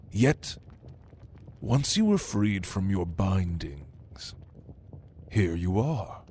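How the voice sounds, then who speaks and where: A man playing a haughty, hungry demon who was a prince's right hand, speaking slowly and intimidatingly to the listener in an ASMR audio roleplay. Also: on the low side, darkish tone, slight background noise. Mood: musing, sardonic. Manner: slow, deliberate, intimidating, dryly teasing.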